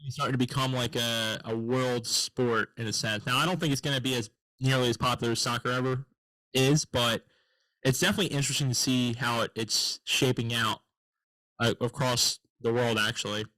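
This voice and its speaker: A man's voice: mid-range voice, fairly even intonation, a little fast, bright, forceful tone, no room sound, low-quality recording. Easygoing host man, dry sound. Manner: easygoing, filler "like", rambling questions. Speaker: podcast host. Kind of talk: casual interview chat.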